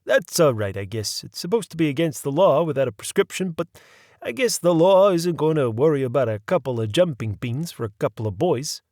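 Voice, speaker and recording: Storybook reading: man playing a patient, firm old druggist, raised louder than the narration, lively pitch. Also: fairly quick, no echo, clean studio sound.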